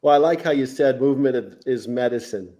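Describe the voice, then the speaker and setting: A man's voice: medium pitch, fairly quick, warm tone. A man hosting an interview podcast in an enthusiastic, affirming, conversational way. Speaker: podcast host.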